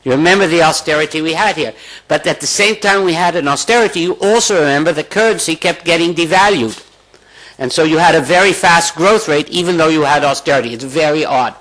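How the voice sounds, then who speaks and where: An older man at a lectern introducing a guest speaker in a measured, formal manner, reading from notes. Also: fairly high pitch, a bit bright, low-quality recording. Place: lecture hall at a lectern. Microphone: lectern microphone.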